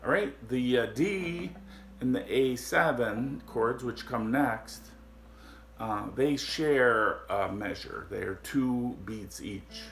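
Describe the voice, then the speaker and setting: Slow-talking man with a gravelly deep voice. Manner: slow, halting explanations with many fillers. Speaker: guitar teacher. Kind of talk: casual guitar lesson. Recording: echoey room with guitar playing.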